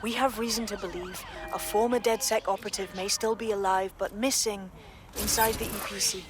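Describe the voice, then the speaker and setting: An actress playing a businesslike resistance handler giving a mission briefing in a video game. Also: female voice, mid-range voice, fairly even intonation, a little fast, noisy background, lightly acted. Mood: businesslike, grim.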